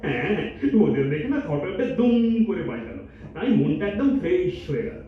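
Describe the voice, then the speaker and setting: A man's voice: fairly high pitch, very animated, fairly quick. A man playing an impulsive, chatty young boyfriend in a staged audio drama, insistent and dropping English words into his talk. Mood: eager, persuasive. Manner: eager, insistent, repeats himself, mixes in English. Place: multipurpose hall stage. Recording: distant, echoey hall recording, muffled, faint noise.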